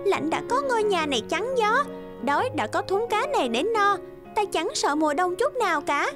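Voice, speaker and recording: Very high, childlike cartoon swan. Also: female voice, big pitch swings, moderate pace. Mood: smug. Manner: exclamatory, gushing and self-pitying. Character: lazy pink swan, childlike, gleeful then whiny. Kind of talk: cartoon fairy tale character acting. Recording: music under the voice.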